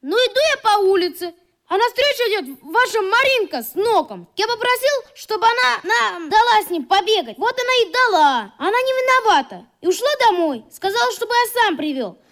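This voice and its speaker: A scrappy young schoolboy in a radio play. The voice is very high and lively, jumping about in pitch. He blurts things out in a defiant, excitable way. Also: a little fast, exaggerated voice. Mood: agitated recounting. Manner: blurting, colloquial.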